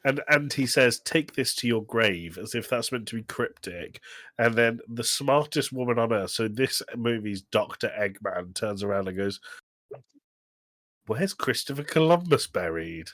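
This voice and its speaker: A man's voice: mid-range voice, expressive, unhurried, fairly bright tone, no room sound. A man co-hosting a podcast in a casual comedic film-review chat, retelling things in a mocking, exasperated way with acted-out quotes and some swearing. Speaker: podcast co-host.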